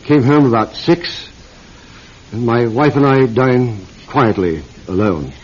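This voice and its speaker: A bewildered aristocratic British publisher in classic radio drama. His voice is husky and refined, halting as he gropes through his sentences. The old recording sounds dull and muffled. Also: mid-range voice. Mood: faltering recollection. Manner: faltering, hesitant, refined.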